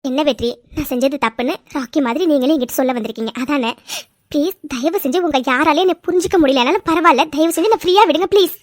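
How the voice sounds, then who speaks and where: A woman's voice: sits high, fast, no room sound, lightly acted. A woman acting a distraught, defiant young office worker in an emotional drama, pleading and repeating her words. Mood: tearful, defensive.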